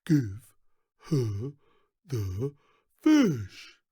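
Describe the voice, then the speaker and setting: Guy doing a gruff sneery big bloke from a kids story, kinda husky. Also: medium pitch, wildly swooping pitch, drawn-out words, dark tone, no room sound, clean studio sound, exaggerated voice. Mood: menacing. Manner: scornful, grudging, grunting.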